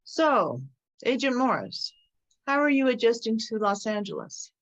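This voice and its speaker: A woman playing a courteous, humble police chief with measured authority in a screenplay table read. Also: on the high side, big pitch swings. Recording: dull, lo-fi audio.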